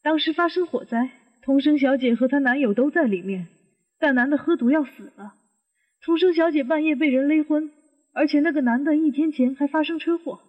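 An actress playing a suspicious middle-aged aunt who questions and reasons step by step in a Chinese audio detective drama. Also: female voice, high voice, fairly quick, close to an everyday voice. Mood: suspicious, probing. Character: inquisitive, suspicious middle-aged aunt probing a supposed suicide. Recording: muffled, long hall reverb.

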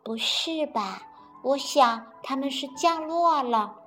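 A woman voicing a lively, excitable male rabbit in a children's storybook reading. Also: sits high, slow pace, faint music behind, voice pushed up, exaggerated voice. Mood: eager.

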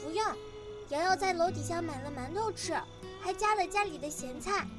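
A woman voicing a gentle, considerate little girl in a radio drama, with a childlike manner. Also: very high voice, moderate pace, distant mic, over music. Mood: sweet, caring. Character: sweet, thoughtful little girl devoted to her mother.

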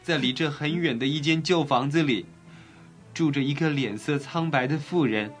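A man playing a gentle, sorrowful prince statue, speaking earnestly and mournfully in a children's audio storybook. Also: fairly high pitch, normal speed, faint music behind, close to an everyday voice.

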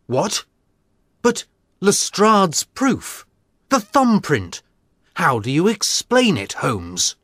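A man voicing an earnest, baffled gentleman, a detective's companion, in a dramatised audiobook. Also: sits high, huge pitch swings, very slow, long pauses, dry recording, clean studio sound, pitched above the performer's own voice, exaggerated voice. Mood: baffled, urgent.

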